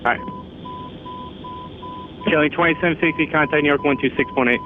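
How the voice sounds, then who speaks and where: Fast male air traffic controller on radio. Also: a little high, wildly swooping pitch. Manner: clipped, procedural phrasing. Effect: radio transmission. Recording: noisy, narrow-band air traffic radio.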